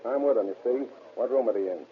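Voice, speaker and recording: An actor playing a young, evasive small-time crook with slangy, casual talk, in 1930s radio crime drama style. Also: male voice, medium pitch, fast talker, natural voice. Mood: bargaining. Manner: hesitant fibbing, slangy, casual. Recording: old tinny band-limited radio, hissy.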